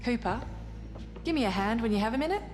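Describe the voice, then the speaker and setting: A woman's voice: fairly high pitch, animated, fast, darkish tone, close to an everyday voice. Actress as intense woman scientist in reverberant hall. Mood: brisk, secretive. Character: intense, suspicious woman scientist aboard a spaceship. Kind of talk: cinematic video game acting. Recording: long reverberant hall, music behind.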